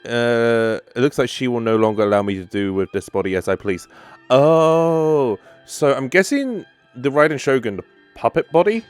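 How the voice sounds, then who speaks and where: A woman's voice: extremely low pitch, animated, moderate pace, a little mellow, clear, resonant tone. A woman gaming streamer giving casual live commentary, reacting piece by piece as the story unfolds. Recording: game music behind voice. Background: game music and dialogue.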